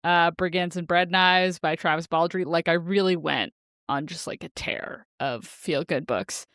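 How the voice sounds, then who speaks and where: A woman's voice: lowish voice, measured pace, fairly bright tone, no echo, studio quality. A woman co-hosting a podcast, chatting casually about books in a giggly, enthusiastic way. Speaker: podcast co-host. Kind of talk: casual book chat.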